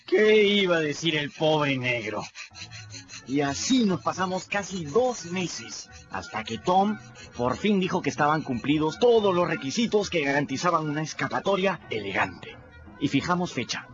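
A man's voice: fairly high pitch, sing-song, normal speed, a bit bright, highs cut off, faint music behind. An actor narrating as a plain-spoken boy in a radio drama, with a wry storytelling manner.

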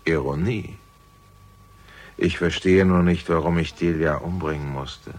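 An actor playing an unflappable older father in a German radio crime drama, with a gravelly voice and a dry, measured, ironic manner. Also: low voice, fairly even intonation. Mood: calm, sardonic.

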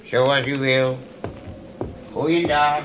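An ageing, half-deaf bookkeeper in an old radio drama with a lot of hiss. He is fussy and grumbling at a middling pitch, always excusing himself. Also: steady tone, extremely slow. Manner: querulous, self-excusing. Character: hard-of-hearing, fumbling, grumbling older male bookkeeper. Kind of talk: radio play acting. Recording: noisy old radio play recording.

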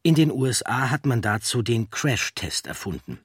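A man reading scripted documentary narration in a measured, factual way. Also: mid-range voice, very animated, slightly rough, no echo, studio quality.

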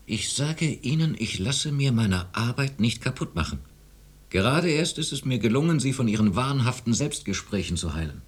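A man playing a self-righteous, domineering chief psychiatrist in a radio drama, authoritative and dismissive, with a husky voice. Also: mid-range voice, very animated, moderate pace. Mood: irritated, insistent.

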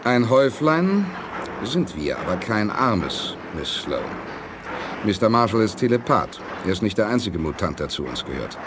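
An actor playing a self-assured, probing leader of a space-age base in a 1980s German radio play, authoritative and deliberate. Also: male voice, fairly low pitch, very animated, noisy background. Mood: confident.

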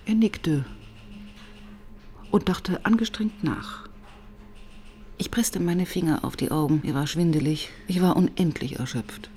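An actress playing a weary, relentless police detective who also narrates in a radio crime drama, intense and often dropping to a whisper. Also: female voice, lowish voice, measured pace, pauses often, darkish tone, close to an everyday voice. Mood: weary, bleak.

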